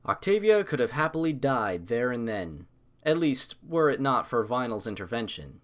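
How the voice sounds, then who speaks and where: A man's voice: mid-range voice, very animated, moderate pace. A man narrating a scripted story as an audiobook reader.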